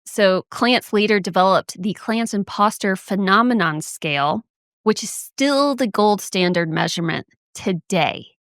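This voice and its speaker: A woman's voice: medium pitch, lively intonation, measured pace, fairly bright tone, no room sound, clean studio sound. A woman co-hosting a podcast, friendly and self-deprecating, moving between easy chat and explaining research. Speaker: podcast co-host. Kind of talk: casual chat and reading out research.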